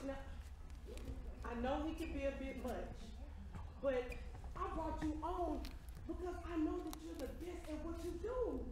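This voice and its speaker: Casting: uptight, protective female manager for stage comedy, high voice, very echoey hall. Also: unhurried, long pauses. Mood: resigned. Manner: fretful, businesslike. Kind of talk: comedic stage play acting. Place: theater stage. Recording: echoey theater, clearly noisy.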